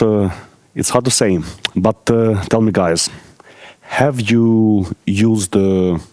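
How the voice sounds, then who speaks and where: Very low-voiced man giving a conference talk. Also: darkish tone, no room sound. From Ukraine. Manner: halting, many filler pauses, friendly asides to audience. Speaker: conference speaker. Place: conference hall stage.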